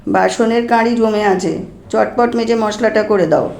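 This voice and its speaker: A woman voicing a fussy, teasing househusband and father in an audio story, with a brisk, chiding, familiar manner. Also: medium pitch, creaky, a little mellow.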